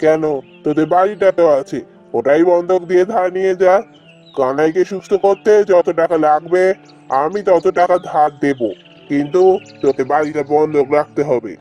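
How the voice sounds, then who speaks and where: A man's voice: on the high side, moderate pace, dark tone, lo-fi sound, soft background music, natural voice. A man voicing a stern, shrewd village landlord in a cartoon fairy tale, talking down in a commanding way. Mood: stern, bargaining. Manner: commanding, addresses villagers as inferiors.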